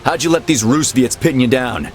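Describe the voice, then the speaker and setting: An actor playing a bold, decisive military captain in a game cutscene, with a brisk, confident, commanding delivery. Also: male voice, medium pitch, slight background noise, lightly acted. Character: bold, decisive young male army captain rallying his men. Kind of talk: video game cutscene acting.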